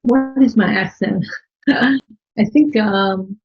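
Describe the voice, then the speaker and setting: Woman guest with a Chinese accent, husky and gravelly, easygoing explainer. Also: medium pitch, very slow, highs cut off. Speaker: interview guest. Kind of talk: conversational interview answers.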